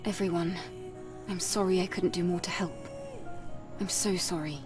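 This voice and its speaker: An actress playing a caring, troubled young female warrior in a video game, soft and earnest. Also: on the low side, fairly even intonation, moderate pace, recorded from a distance, music in the background, lightly acted. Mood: sorrowful.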